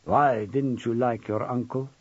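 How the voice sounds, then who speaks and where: Audiobook psychic: gaunt older Romanian woman, deliberate, unnerving, husky edge, performed by a male narrator. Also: medium pitch, steady tone, no room sound, highs cut off. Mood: relentless. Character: gaunt Romanian woman psychic, older than she looks, relentless, knowing.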